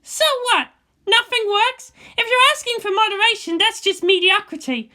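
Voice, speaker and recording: A woman's voice: extremely high pitch, moderate pace, bright, forceful tone, voice pushed up. A woman voicing a headstrong, argumentative girl with fierce conviction and an emphatic delivery, in a fan fiction reading. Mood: defiant. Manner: emphatic, declamatory. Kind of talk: fan fiction audiobook character voice.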